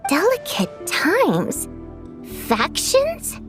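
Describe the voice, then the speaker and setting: Impatient, highly animated blunt woman. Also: extremely slow, faint music behind, heavily acted. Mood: incredulous. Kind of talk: video game voice acting.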